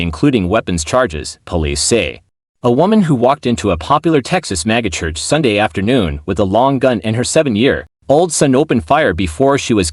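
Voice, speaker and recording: A man's voice: lowish voice, no echo, clean studio sound. A man reading a scripted news report as a narrator.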